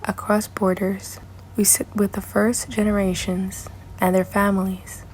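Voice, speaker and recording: A woman reading a scripted introduction in an even, steady delivery. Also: mid-range voice, measured pace, a little hiss or hum. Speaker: narrator. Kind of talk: scripted narration.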